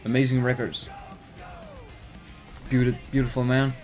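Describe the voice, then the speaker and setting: Relaxed young gamer on a headset, offhand remarks, faint music in the background. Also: male voice, medium pitch, a little slow. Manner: laid-back, muttering remarks. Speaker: gamer streamer. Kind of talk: casual let's-play commentary.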